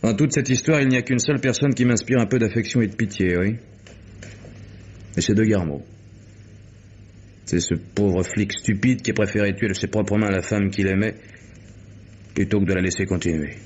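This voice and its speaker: Sardonic tired detective guy, low voice, old muffled radio play, slow reveals w/ pauses. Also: steady tone, a little mellow, slightly rough. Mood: bitter, weary. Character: male private detective, world-weary, sardonic, explaining a murder case. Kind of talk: 1950s French radio mystery acting. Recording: muffled vintage radio drama recording.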